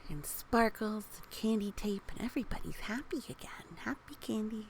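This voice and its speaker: A woman reading a children's story aloud in a gentle, encouraging way, with casual asides to young listeners and a creaky voice that often softens toward a whisper. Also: mid-range voice, lively intonation, normal speed. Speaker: storybook reader. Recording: faint background noise.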